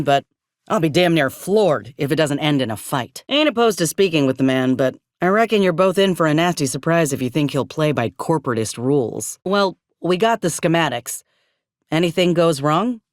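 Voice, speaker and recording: An actress voicing a frank, loyal frontier soldier in a video game. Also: female voice, sits low, no room sound, studio quality. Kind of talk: scripted video game character dialogue.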